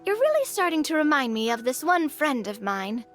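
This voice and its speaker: A woman's voice: sits high, sing-song, moderate pace, fairly bright tone, soft background music. An actress playing a cheerful, optimistic young woman adventurer with a sunny, chatty, earnest manner. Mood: earnest, upbeat.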